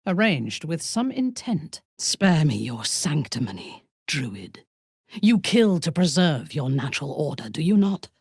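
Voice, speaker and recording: A ruthless dark elf noblewoman from a fantasy video game. Her low voice moves slowly and deliberately, swinging widely in pitch. She is imperious and sardonic, with formal diction and cool menace. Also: very animated, husky, no echo, clean studio sound, full character voice. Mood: scornful, measured. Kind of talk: video game character voice acting.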